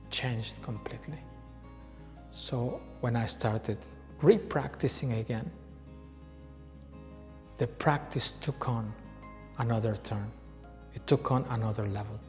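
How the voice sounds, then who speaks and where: A man in his forties, originally from Peru, a yoga teacher telling his story in a slow, reflective way with frequent pauses. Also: mid-range voice. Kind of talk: personal storytelling. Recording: reverberant room with soft background music.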